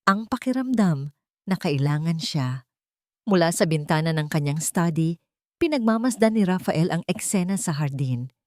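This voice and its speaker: Low-pitched woman narrating a dramatic story. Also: animated, moderate pace, darkish tone, dry recording, clean studio sound.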